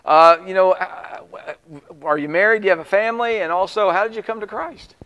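Host man in his 50s, smooth resonant voice, a bit high, earnest preacherly tone, long reverb in the studio. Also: a bit bright. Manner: earnest, measured, preacherly emphasis. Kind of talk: church TV program hosting. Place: small studio set with plants.

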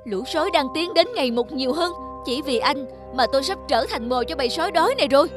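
A woman's voice: high voice, expressive, quick pace. A woman voicing a panicky, blaming male traveller in a cartoon story, agitated and exclamatory. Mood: bitter, frightened. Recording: music behind the voice.

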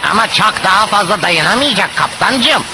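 A somewhat high-voiced cartoon pirate sidekick, simple-minded and devoted. He speaks with naive deference over a clearly noisy soundtrack. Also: expressive, normal speed. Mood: worried pleading. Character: simple, meek, loyal male pirate sidekick. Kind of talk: dubbed cartoon comedy acting.

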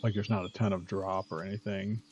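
A middle-aged man giving casual gameplay commentary, musing aloud in a low-key way. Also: level tone, brisk, a little mellow, muffled recording, faint music behind. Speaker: gaming streamer. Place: indoor room, webcam setup.

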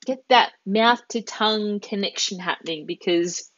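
A woman's voice: mid-range voice, normal speed, fairly bright tone, no echo, muffled recording. A woman in her thirties with an Australian accent, teaching a live online language class in an encouraging, chatty way, drawing out words carefully. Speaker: Italian teacher. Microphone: headset.

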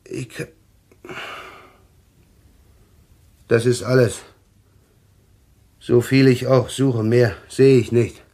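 An actor in a German radio play playing an anxious, troubled man haunted by visions, speaking haltingly with a husky voice. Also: medium pitch, steady tone, dark tone. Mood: desperate. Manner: halting, haunted, repeating himself.

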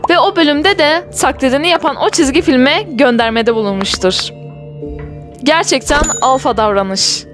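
Female online video narrator, high-pitched, enthusiastic and viewer-directed, over background music. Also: moderate pace, a bit bright. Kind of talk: scripted narration for a cartoon countdown video.

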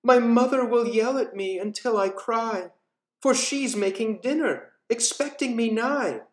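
Man as a timid storybook girl, raised pitch, pitiful, pleading, echoey room. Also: measured pace. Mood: plaintive. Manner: plaintive, high-pitched pleading. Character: timid, sulky little girl, dramatic and pitiful. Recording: roomy echo.

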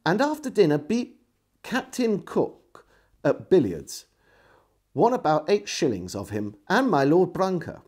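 A middle-aged man reading a historical diary aloud as a storyteller, in an expressive, measured way. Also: sits high, slightly rough. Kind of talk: dramatic literary reading. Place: indoors, small room lined with bookshelves.

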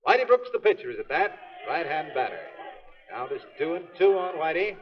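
An excitable baseball announcer in a 1930s radio play. His voice is high for a man and clipped, on a dull old recording. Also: brisk, soft background music. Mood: excited. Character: excited male baseball radio commentator, turning alarmed.

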